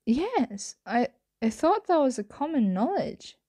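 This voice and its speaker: A woman acting a flirtatious, teasing roommate playing vampire in an intimate ASMR roleplay, speaking softly and often whispering, with hesitant murmurs. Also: high voice, very animated, a little slow, exaggerated voice. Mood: flirtatious, hungry. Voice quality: soft, breathy, frequently whispering.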